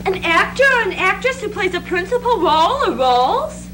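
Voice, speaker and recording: A young woman performs scripted readers theatre narration. Her voice is very high and moves widely in pitch. The amateur stage recording has clear noise. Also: sing-song, normal speed, bright, forceful tone, poor audio. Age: young adult.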